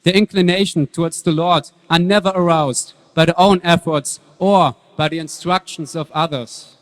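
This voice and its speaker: A man as a fairly high-voiced, earnest saintly boy. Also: steady tone, lightly acted. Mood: earnest, serene. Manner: earnest, preaching, measured. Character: saintly young boy devotee, calm and earnest. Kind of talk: dramatic reading of scripture. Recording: reverberant hall.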